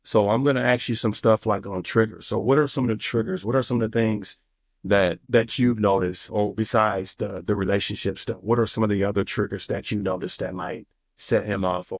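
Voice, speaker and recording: A man's voice: on the low side, fairly even intonation, fairly quick, husky, dry recording. A man hosting a family session, asking probing questions in a direct, coaching manner. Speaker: host counseling a family. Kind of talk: counseling talk.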